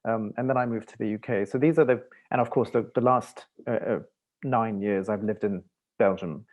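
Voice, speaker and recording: A man who grew up in Malaysia, a dancer and choreographer speaking as a panel guest, thoughtful and halting with many restarts. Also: lowish voice, a little mellow. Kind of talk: panel discussion.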